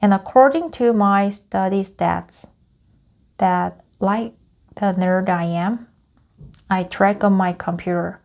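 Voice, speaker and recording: Slow, gravelly woman reading aloud. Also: medium pitch, darkish tone. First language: Korean. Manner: halting, repeats phrases and self-corrects. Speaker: English learner. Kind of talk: reading a script aloud for practice.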